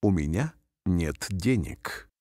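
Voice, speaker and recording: A man reading short phrases aloud slowly and carefully, each one repeated, for language learners. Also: low-pitched, expressive, darkish tone, no echo. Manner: careful, clearly spaced phrases. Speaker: language teacher. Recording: dry studio voice-over.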